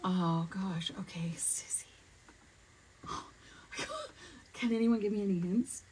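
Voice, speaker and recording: A woman's voice: mid-range voice, slow, warm tone, slight background noise. A woman voice actress moderating a panel, reading fan questions in a chatty, self-deprecating way. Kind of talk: casual convention panel chat. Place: studio room with a table of merchandise.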